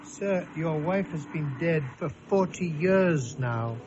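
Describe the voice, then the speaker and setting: Formal banker, slightly high voice. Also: male voice, lively intonation, takes it slowly, background noise. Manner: formal, polite, matter-of-fact. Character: formal, persistent bank official. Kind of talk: suspense radio drama acting. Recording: muffled radio recording, music behind.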